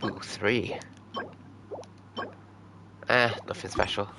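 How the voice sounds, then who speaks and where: A man's voice: fairly low pitch, steady tone, drawn-out words, vocal fry, background noise. A man streaming a video game, giving casual commentary and murmuring reactions to himself.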